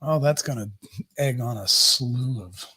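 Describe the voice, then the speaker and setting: A man's voice: medium pitch, measured pace, sharp tone, slightly rough. A man in his fifties co-hosting a live podcast, reading viewer comments and trading dry, teasing banter with his co-host. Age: 50s.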